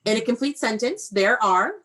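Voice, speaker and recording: A woman's voice: on the high side, expressive, moderate pace, fairly bright tone. A woman teaching young children in an online read-aloud class, prompting them with questions and encouragement in an instructive way.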